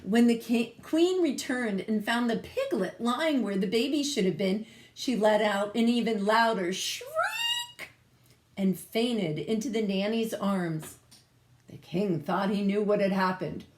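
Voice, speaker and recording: A woman teacher reading a picture book aloud to children, expressive and often putting questions to her listeners. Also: mid-range voice, measured pace.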